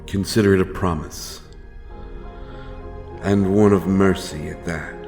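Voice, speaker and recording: A man playing a stern, menacing but honourable monster hunter in a dark fantasy audio drama, speaking in solemn, archaic phrases. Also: low-pitched, fairly even intonation, unhurried. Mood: grim warning. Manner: archaic, solemn, threatening speech. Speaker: audio roleplay performer. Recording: music behind the voice.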